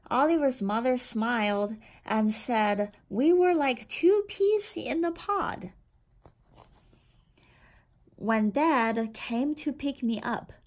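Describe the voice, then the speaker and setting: A woman reading a children's picture book aloud in a deliberate, expressive storytelling manner. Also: on the high side. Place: indoor room with framed certificates on the wall.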